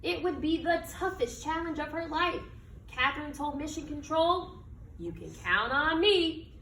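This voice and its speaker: A high-voiced woman reads a children's story aloud in a very lively, expressive way. She phrases things dramatically and asks her listeners questions. The sound is roomy and distant from the mic, with faint noise. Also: measured pace. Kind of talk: expressive children's picture book read-aloud.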